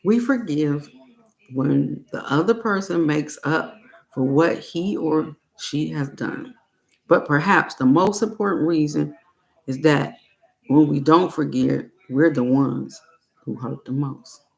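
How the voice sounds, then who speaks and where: Slow, creaky-voiced woman guiding a meditation. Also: low-pitched, rough recording. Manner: deliberate, broken phrasing with long pauses. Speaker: meditation and self-help reader. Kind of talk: guided meditation reading.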